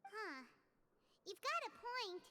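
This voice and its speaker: A woman voicing a small, chirpy, childlike fairy companion in a video game, squeaky, bubbly and blunt. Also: big pitch swings, slow pace, sharp tone, exaggerated voice. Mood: puzzled, chatty. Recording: game music behind the voice.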